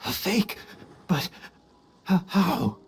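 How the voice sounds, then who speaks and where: A woman acting a shaken, disbelieving game character, with a halting, intense delivery. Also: fairly low pitch, big pitch swings, faint music behind, full character voice. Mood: shaken disbelief. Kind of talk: dramatic game cutscene acting.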